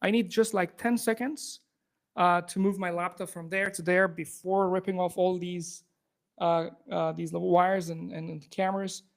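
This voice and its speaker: A man's voice: sits high, fairly even intonation, gravelly. Chatty man from Afghanistan giving a live demo. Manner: talkative, fast-restarting, upbeat and informal. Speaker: software engineer presenting a live demo. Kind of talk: live tech demo talk to a room audience. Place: event room with a live audience. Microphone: handheld or clip microphone.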